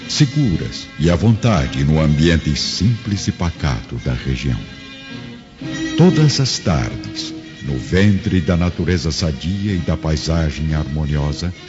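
A man with a very low voice narrates a radio drama with solemn, florid feeling. His intonation swells and falls dramatically. Long reverb and clear music surround the voice, on a slightly dull recording.

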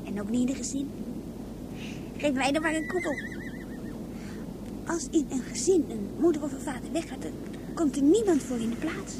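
Young girl, radio play: high, chatty, matter-of-fact, noisy background. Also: animated, moderate pace, long pauses. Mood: earnest. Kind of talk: radio drama acting. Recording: clear background noise.

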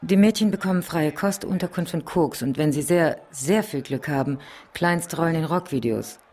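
A woman's voice: deep voice, normal speed, a little mellow, slightly rough. Shrewd call girl in a radio play, cool and matter of fact, all business. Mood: explaining. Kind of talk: German radio drama acting.

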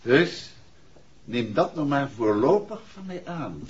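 An actor playing a composed, principled writer and amateur detective in a 1960s Dutch radio play, speaking politely and with assurance. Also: male voice, medium pitch, expressive, slow, very noisy. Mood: brisk, mildly impatient. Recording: dull, muffled old radio recording.

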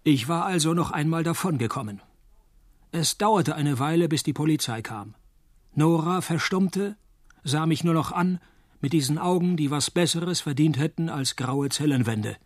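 A hard-drinking private detective in a noir radio play. His voice sits a little high and is recorded close and dry. He delivers laconic wit in a deadpan manner. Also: moderate pace, dry recording. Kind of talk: radio play dialogue and first-person noir narration.

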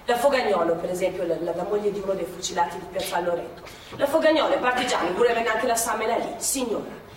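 A woman's voice: mid-range voice, quick pace, natural voice. Actress as elderly woman, partisan host, chatty and repetitive, live theatre, distant, echoey with faint noise. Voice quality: conversational, repeats herself for effect. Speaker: actress in a stage play. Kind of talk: theatrical monologue, recorded live. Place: theatre stage. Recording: echoey theatre stage, mic far off.

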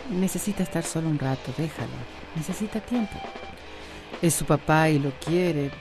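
A woman's voice: low voice, normal speed, over music, lightly acted. An actress with a Costa Rican accent playing a bold, independent woman in a radio drama. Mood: gentle, reassuring. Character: bold, independent woman, supportive friend, guarded with her suitor.

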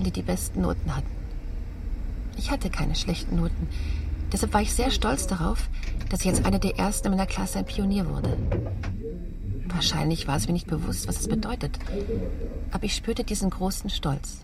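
A middle-aged woman with a creaky voice shares first-person recollections. She sounds away from the microphone, with clear traffic-like noise behind her. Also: medium pitch, a little fast, pauses often. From former Soviet Union. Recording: distant, noisy recording with traffic-like ambience.

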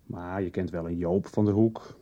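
Man acting a radio drama police detective, mellow timbre, pressing, sarcastic, slightly muffled. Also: sits low, steady tone, moderate pace, close to an everyday voice. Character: stern, threatening male police detective. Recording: dull lo-fi 1990s radio drama.

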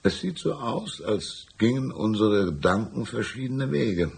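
An actor playing a folksy, shrewd older small-town lawman in a radio drama, patronizing and unhurried, with a gravelly, husky voice. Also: on the low side, very animated, a little mellow, heavily acted. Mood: probing, calm. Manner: drawn-out, patronizing, calls listener "my son".

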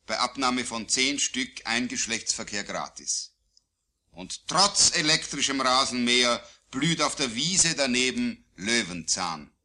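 A man reciting his own poetry solemnly, in a declamatory, deliberate way with phrases falling at the line endings. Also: medium pitch, lively intonation, sharp tone. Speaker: poet. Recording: digitized vintage vinyl record.

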